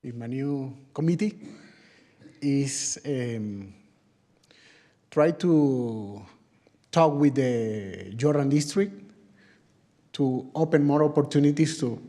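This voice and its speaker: Slow, mellow-voiced man, haltingly addressing a council. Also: mid-range voice. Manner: halting, searching for words. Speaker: committee member introducing a speaker. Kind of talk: public comment speech at a council meeting.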